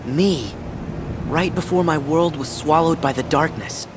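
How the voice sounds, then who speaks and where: An actor playing an earnest, defiant teenage boy hero in a game, emotional and quick to burst out in confusion. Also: fairly high pitch, noisy background, lightly acted. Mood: astonished. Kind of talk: video game cutscene acting. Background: game soundtrack music.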